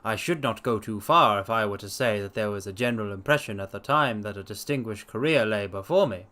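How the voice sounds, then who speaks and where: A man voicing an earnest, modest young Victorian doctor, formal and measured, in a dramatic story reading. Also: on the low side, bright, forceful tone, voice pushed down.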